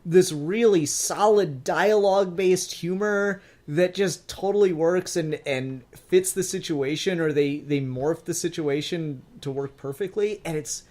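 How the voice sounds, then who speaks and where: A man's voice: on the high side, unhurried, hardly any pauses, darkish tone. A man co-hosting a podcast, giving opinionated, emphatic takes with plenty of swearing in a casual fan discussion. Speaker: podcast co-host.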